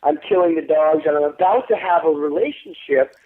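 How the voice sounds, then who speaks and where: A man's voice: a little high, fairly quick, a little hiss or hum. A man in his thirties, an actor giving an interview, telling stories with lots of swearing and boasting, acting out what others said. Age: 30s. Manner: profane, boastful, animated storytelling with quoted voices. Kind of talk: casual phone interview. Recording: tinny phone line.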